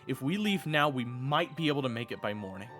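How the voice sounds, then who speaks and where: An actor playing an earnest, urgent teenage knight in an audio roleplay drama, protective and stubborn, with dramatic, emphatic delivery. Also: male voice, mid-range voice, animated, fairly quick, a bit bright, faint music behind, lightly acted. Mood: urgent, desperate. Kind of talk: audio roleplay drama with first-person narration.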